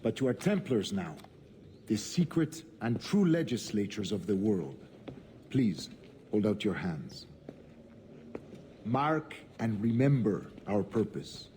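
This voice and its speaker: Formal Spanish governor, noisy ambience. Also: male voice, lowish voice, big pitch swings, slow pace, pauses often, warm tone. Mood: solemn. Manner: formal, ceremonious. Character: commanding, courteous Spanish colonial governor and Templar grandmaster. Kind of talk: solemn dramatic game acting.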